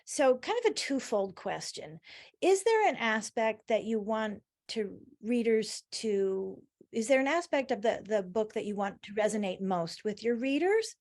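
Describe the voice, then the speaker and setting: A woman hosting a book club, asking interview questions in a halting way with fillers. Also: on the high side, very animated, a little mellow.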